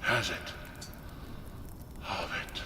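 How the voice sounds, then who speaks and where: A man playing a gruff, proud dwarven blacksmith in an ASMR fantasy roleplay, grumbling and scolding in short, deliberate phrases, sometimes whispering. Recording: roomy, reverberant, distant, loud background noise.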